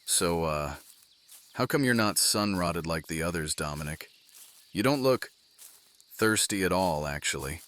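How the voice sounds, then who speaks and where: A man playing a gruff, wry, fatherly commander in a fantasy audio drama, speaking haltingly. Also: low voice, animated, a bit bright. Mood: concerned.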